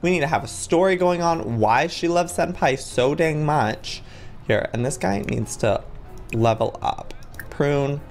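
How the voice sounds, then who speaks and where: A man in his twenties giving playful gameplay commentary, excitable, with exaggerated reactions. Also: a little high, measured pace, vocal fry, faint music behind. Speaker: gaming video host.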